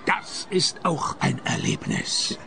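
A man playing a flippant, deadpan private detective in a radio play, narrating and sparring drily. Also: mid-range voice, slow, creaky, a little hiss or hum, full character voice. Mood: dry, probing. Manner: deadpan, sardonic. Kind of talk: radio play acting with first-person narration.

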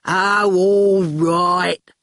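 A woman voicing a loud, bossy, hot-tempered female gang boss in a storybook, growling and barking orders. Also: on the low side, fairly even intonation, drawn-out words, no echo, studio quality, exaggerated voice. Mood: angry. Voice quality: growling, bellowing. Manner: colloquial, brash, barking orders.

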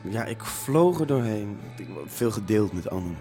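An actor playing a weary, laid-back hippie traveller in a radio drama, talking in a hesitant way. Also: male voice, fairly low pitch, lively intonation, measured pace, mellow, faint music behind. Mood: sheepish.

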